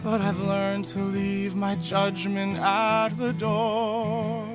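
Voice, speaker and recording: A man's voice: high voice, completely flat delivery. An actor playing a young man, earnest and forgiving, singing a heartfelt ballad in a musical audio drama. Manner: sings a slow, heartfelt ballad. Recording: song over loud music.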